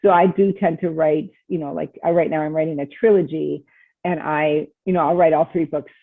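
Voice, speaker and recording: Over a narrow, tinny call with little room sound, a woman speaks in a low, husky voice. She is a novelist chatting casually as a guest in an interview. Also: steady tone, a little fast, dry recording. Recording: tinny, narrow phone-like line.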